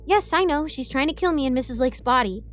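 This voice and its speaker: A chatty ten-year-old girl, played by a woman narrates her story in the first person. She is excitable and defiant, given to rambling asides, in a high voice over light music. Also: fast talker. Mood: excited.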